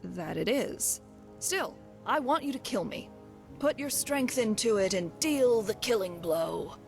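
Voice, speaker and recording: A woman's voice: fairly high pitch, lively intonation, measured pace, gravelly, faint music behind. A woman playing a brash, wry undying thief and adventurer as a companion in a fantasy video game, speaking theatrically. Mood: insistent goading. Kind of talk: voiced game dialogue.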